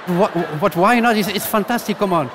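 A man's voice: high-pitched, fairly quick, heavy background noise. Playful French man in his sixties. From France. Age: 60s. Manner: playful, coaxing, broken English. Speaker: ventriloquist contestant. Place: large theatre stage. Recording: loud music and roaring crowd.

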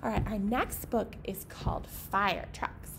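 A woman's voice: medium pitch, a little slow, a bit bright. A woman in her thirties presenting a storytime as a children's librarian, speaking simply to young children and their parents. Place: meeting room indoors. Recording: clear background noise.

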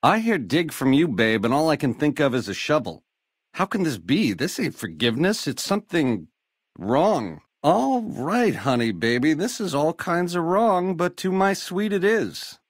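An actor playing a slick, swaggering casino gangster, cocky and glib, in a video game full of 1950s lounge slang. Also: male voice, on the high side, very animated, measured pace, husky, no echo, studio quality, exaggerated voice. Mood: incredulous, wary.

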